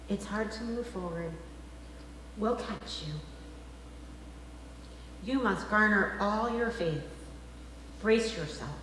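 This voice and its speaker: A woman minister preaches in a large, very reverberant church space with faint noise behind her. Her voice sits a little high. She phrases emphatically, with deliberate pauses in the manner of a sermon. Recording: very echoey hall, faint background noise.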